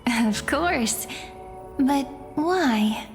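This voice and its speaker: A woman playing a serene, mystical young oracle figure in a fantasy video game, with gentle, ceremonial phrasing. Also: sits high, expressive, drawn-out words, full character voice. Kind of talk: English video game voice acting. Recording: long hall reverb under music.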